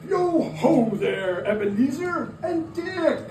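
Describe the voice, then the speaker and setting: A man playing a jovial old gentleman boss in a dramatic stage reading, calling out heartily. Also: sing-song, slow pace, heavily acted. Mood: jovial, hearty. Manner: hearty, booming calls. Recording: echoey room, distant mic, noisy.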